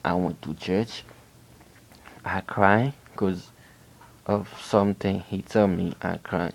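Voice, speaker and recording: A man from Haiti with a Haitian accent, telling a personal faith testimony in an earnest, halting way with frequent restarts. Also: deep voice, steady tone, creaky. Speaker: person giving a religious testimony.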